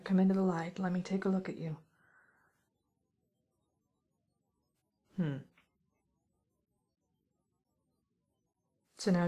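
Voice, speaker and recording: A woman playing a wry, teasing witch who scolds an intruder in an ASMR roleplay, speaking softly and often in a whisper. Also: deep voice. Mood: wry suspicion. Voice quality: soft, breathy, often whispered. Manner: teasing, musing, mock-stern, theatrical.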